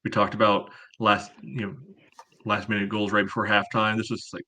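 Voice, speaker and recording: Male podcast co-host, bright forceful tone, little pitch movement, casual game analysis. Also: little pitch movement, normal speed. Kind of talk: casual match analysis chat.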